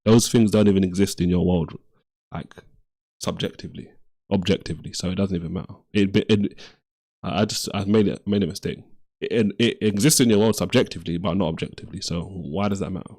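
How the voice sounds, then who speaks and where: A man's voice: low voice, steady tone, brisk, a little mellow. A man hosting a live call-in debate stream, blunt and pressing with his questions, often repeating himself. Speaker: livestream debate host.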